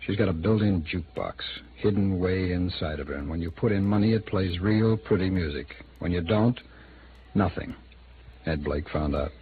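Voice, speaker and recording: Guarded, low-voiced American attorney. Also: male voice, normal speed. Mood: candid, defensive. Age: 30s. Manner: hesitant, defensive, conversational. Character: guarded, smooth-talking male city attorney in his thirties. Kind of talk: mid-century American radio drama acting. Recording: phone-like, noisy old radio recording.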